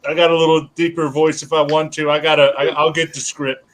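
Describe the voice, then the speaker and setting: A man's voice: fairly high pitch, flat delivery, a little fast, crowd noise. A man with a slightly raised voice rambles through a casual group call, bemused by what he sees and swearing as he goes. He restarts his sentences often as he works things out. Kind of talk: casual livestream chat.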